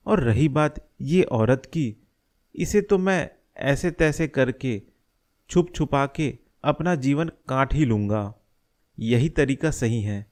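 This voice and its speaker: A man acts as a worried middle-aged cartoon father. He speaks slowly at a medium male pitch, laying out each thought earnestly and deliberately. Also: a little mellow, close to an everyday voice. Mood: resolving, planning. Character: worried middle-aged father scheming to protect his family. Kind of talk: Hindi animated moral story dialogue.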